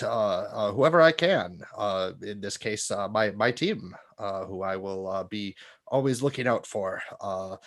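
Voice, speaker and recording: A man plays an earnest, frog-masked warrior who stumbles through his words with constant uh's. His voice is low. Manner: halting, full of uh's. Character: hesitant masked frog-warrior protector, male.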